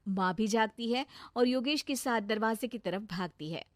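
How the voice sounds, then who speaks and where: A woman narrating a scripted animated village story. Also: on the high side, normal speed, no echo, clean studio sound.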